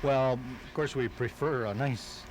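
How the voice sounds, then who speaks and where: A man answering questions in an unscripted live radio interview, explaining things in a halting, hesitant way. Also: mid-range voice, fairly even intonation. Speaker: club member being interviewed. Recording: noisy home-taped live radio.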